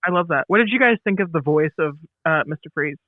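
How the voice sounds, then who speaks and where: A man's voice: fairly high pitch, lively intonation, quick pace, no room sound. A man co-hosting a podcast, chatting casually and jokingly. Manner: joking, informal. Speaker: podcast co-host. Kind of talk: casual chat. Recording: tinny phone-like connection.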